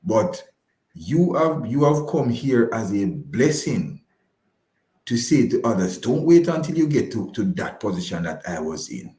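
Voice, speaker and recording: A man's voice: on the low side, very animated, unhurried, mellow, roomy sound. A man giving conversational advice as a radio guest, emphatic and repeating key phrases to reassure. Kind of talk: conversational advice on a live broadcast.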